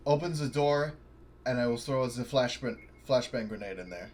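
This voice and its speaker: A man's voice: mid-range voice, normal speed, darkish tone. A man playing a tabletop horror game, chatting and thinking aloud about tactics. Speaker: player. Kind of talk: tabletop play over voice chat.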